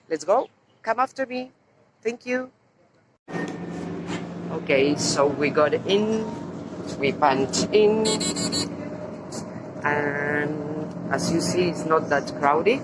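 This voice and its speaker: Tour guide: Argentine Spanish accent, woman, unhurried, explanatory, outdoor street noise. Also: sits low, poor audio. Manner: slow, explanatory, points out sights. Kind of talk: virtual walking tour. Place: outdoors on city streets and a tram. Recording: loud street and traffic noise.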